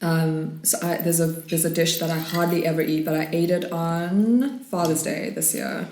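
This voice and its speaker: A woman's voice: fairly low pitch, fairly even intonation, moderate pace, slight background noise. A South African woman in her thirties talking casually and reflectively, with cutlery and dishes clinking around her. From northern KwaZulu-Natal, South Africa. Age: 30s. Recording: roomy sound, loud clatter of dishes.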